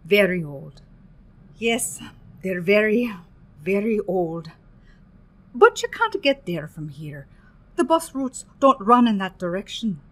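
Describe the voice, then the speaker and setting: A woman's voice: mid-range voice, sing-song, slow, long pauses. A woman playing a motherly, superstitious Irish innkeeper in a radio play, folksy and emphatic, with an Irish accent. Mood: evasive, discouraging. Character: homely, superstitious Irish woman innkeeper, hospitable but guarded.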